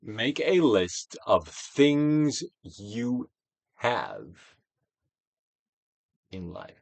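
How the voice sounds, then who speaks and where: A man giving a dramatic, theatrical reading of a self-help book, emphatic, with swells and hushed drops. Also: mid-range voice, big pitch swings, very slow, creaky, dry recording. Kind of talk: theatrical dramatic reading of scripted instructional text.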